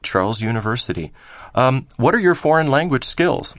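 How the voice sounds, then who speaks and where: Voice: mid-range voice, very animated, normal speed, dry recording, natural voice. An actor playing a helpful, courteous office clerk who methodically takes down details in a scripted exam listening dialogue. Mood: businesslike. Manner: courteous, methodical, repeats answers back.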